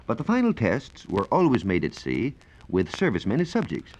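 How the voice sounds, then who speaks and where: An American man working as a science reporter gives a formal, measured, scripted explanation in the style of 1940s educational radio. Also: on the low side, wildly swooping pitch, darkish tone. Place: radio studio. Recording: dull, lo-fi 1940s radio recording.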